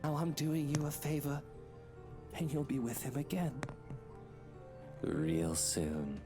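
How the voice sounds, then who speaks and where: A man's voice: on the high side, big pitch swings, slow pace, music in the background, full character voice. A man voicing a greedy, scheming husband in a visual novel game, theatrical, condescending, mock-tender and menacing. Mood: cold greed.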